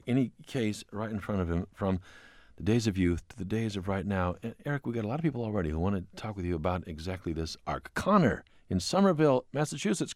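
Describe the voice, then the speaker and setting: A man hosting a public radio call-in show from the studio, measured when scripted and stumbling on questions. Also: medium pitch, no room sound. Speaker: radio talk show host. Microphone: studio microphone.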